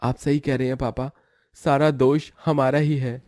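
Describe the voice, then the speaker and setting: An actor playing a gentle, supportive husband in a cartoon story, speaking earnestly. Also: male voice, mid-range voice, level tone, unhurried, no room sound, studio quality, natural voice. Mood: remorseful.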